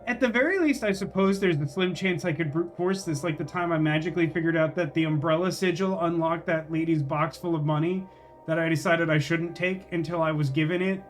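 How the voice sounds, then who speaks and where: A man's voice: on the high side, brisk, soft background music. A man doing casual live gameplay commentary, rambling and thinking aloud as he questions himself. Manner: rambling, musing, self-questioning. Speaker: game streamer.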